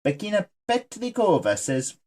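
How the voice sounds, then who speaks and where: A man's voice: high-pitched, wildly swooping pitch, moderate pace, a little mellow, a little hiss or hum. Chatty guy sketching and reading out comments, voice jumps all over the place, jokey. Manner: chatty, jokey, reads viewer comments aloud. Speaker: artist and channel host. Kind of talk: casual chat while sketching.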